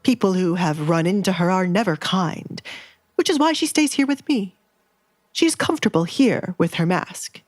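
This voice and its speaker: A woman's voice: fairly low pitch, animated, moderate pace, a little mellow, no echo. A woman voicing a nervous, evasive baron and father in an audio romance drama, hesitant and placating.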